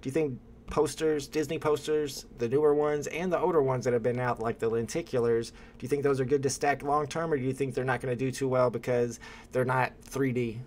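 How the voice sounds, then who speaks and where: A man's voice: medium pitch, level tone, a little fast, a little mellow. A young man hosting a casual livestream from a room at home, chatting with a guest and reading viewer comments aloud. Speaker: livestream host.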